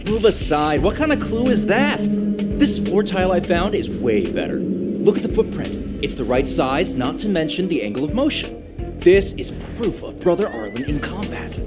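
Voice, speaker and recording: An earnest young follower from a video game, boastful and dramatic. His voice is fairly high and lively, rising and falling over loud music. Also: normal speed. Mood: admiring, emphatic. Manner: emphatic, dramatic. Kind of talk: scripted video game dialogue.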